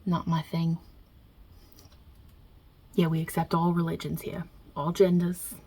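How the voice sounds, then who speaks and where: A low, husky voice from a woman acting the part of a non-binary God who runs heaven like a mob boss. The accent is a put-on Italian-American New York and Jersey one. The delivery is wry, blunt and offhand, with hesitant pauses, in a hushed ASMR roleplay. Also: completely flat delivery. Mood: blunt, opinionated.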